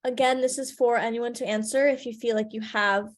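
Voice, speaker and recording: A woman moderating a panel on a video call, reading out audience questions in a polite, measured way. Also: a little high, fairly even intonation.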